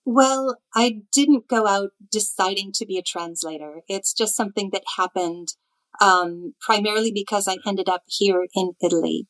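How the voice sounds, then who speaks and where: A woman, chatting relaxed about her path as a translator in an interview, with frequent ums. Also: mid-range voice, moderate pace, low-quality recording. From United States, near Chicago. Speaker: literary translator, guest. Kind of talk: conversational interview storytelling.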